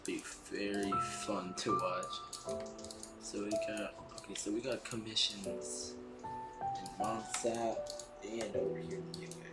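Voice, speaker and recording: A man giving casual, halting gameplay commentary, often repeating phrases. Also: lowish voice, flat delivery. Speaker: game streamer. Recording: loud game music behind the voice.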